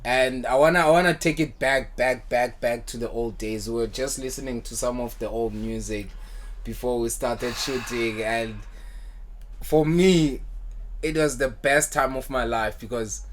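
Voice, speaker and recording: Laid-back South African podcast host. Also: male voice, mid-range voice, normal speed. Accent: South African English. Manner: relaxed, repeats words, mixes isiXhosa phrases. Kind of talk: casual podcast conversation.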